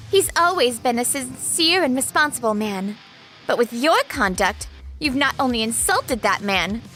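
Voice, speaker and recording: A woman's voice: a little high, sharp tone. A woman playing a stern, formal female company president in a manga dub.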